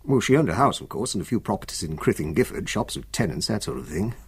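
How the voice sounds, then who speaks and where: An actor in a radio drama playing an older English solicitor, genteel, brisk and evasive, hedging as he talks. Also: fairly low pitch, lively intonation, husky, no echo, exaggerated voice. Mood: measured, evasive. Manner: genteel, hesitant hedging with clipped commands.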